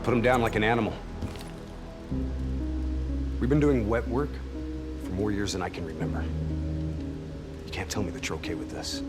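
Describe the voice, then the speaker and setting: An actor playing a volatile, cynical soldier in a video game cutscene, sarcastic and aggressive. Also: male voice, fairly low pitch, steady tone, moderate pace, long pauses, close to an everyday voice. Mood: bitter. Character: volatile, cynical male soldier, bitter and distrustful. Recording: game music behind.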